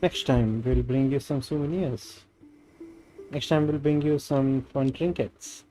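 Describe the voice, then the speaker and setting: A woman's voice: extremely low pitch, measured pace, mellow, faint music behind, low-quality recording. A woman with an Indian accent, a native Hindi speaker, giving casual commentary as a game streamer. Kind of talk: casual game commentary, reading dialogue aloud.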